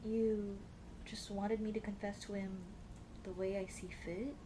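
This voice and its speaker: A woman's voice: mid-range voice, little pitch movement, measured pace, background noise, close to an everyday voice. A young woman playing a shy, teasing tomboy childhood friend in love, in a casual, hesitant and intimate ASMR roleplay. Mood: embarrassed, fond. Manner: playful, bashful, hesitant, casual dude-talk. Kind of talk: ASMR roleplay acting, intimate girlfriend scene.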